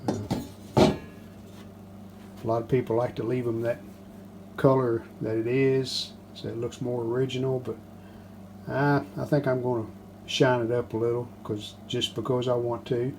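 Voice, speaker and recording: A man casually narrating a hands-on how-to as he works, plain-spoken, unhurried and modest. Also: medium pitch. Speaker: restoration hobbyist and video host. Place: home basement workshop. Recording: steady background noise, handling rustle.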